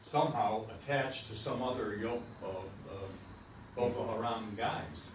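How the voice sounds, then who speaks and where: A man's voice: medium pitch, measured pace. A man in a group discussion after a play reading, skeptically questioning the plot logic. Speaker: audience member giving feedback. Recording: echoey room, from far off, noisy.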